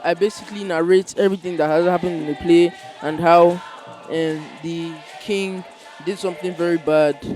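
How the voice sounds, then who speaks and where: A young man with a Nigerian accent explaining his stage role in an informal interview, in a halting way. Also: on the high side, steady tone, darkish tone. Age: teenager or young adult. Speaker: student actor in a school play. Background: music.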